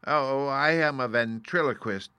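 A man's voice: mid-range voice, a little slow, pushed and bright, smooth, no echo, voice pushed up. A man voicing a meek little old fraud of a wizard in a storybook, trembling, apologetic and pleading. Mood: explaining. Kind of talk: storybook character reading.

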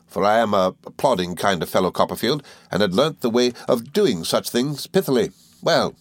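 A man voicing a cheerful, good-natured young gentleman law student in an audiobook, eager and flustered, with little stammering hesitations. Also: fairly low pitch, dry recording. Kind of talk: audiobook character dialogue.

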